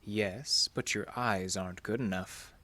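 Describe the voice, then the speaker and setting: A man's voice: on the low side, fairly even intonation, a little slow, noisy background, lightly acted. A man playing a suave, sinister vampire in a horror audio drama, formal and teasing in manner. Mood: sly menace. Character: suave, sinister male vampire posing as curious stranger.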